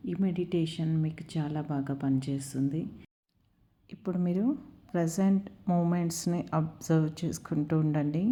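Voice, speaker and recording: A woman leads a guided meditation in a calm, soothing, unhurried manner with long pauses. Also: low-pitched.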